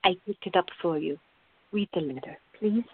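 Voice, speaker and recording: A woman playing a patient, sensible, gently firm woman in a radio drama. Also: on the low side, normal speed, husky, no echo. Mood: patient, coaxing. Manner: calm, reasoning, gently firm. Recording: muffled, phone-like narrow-band audio.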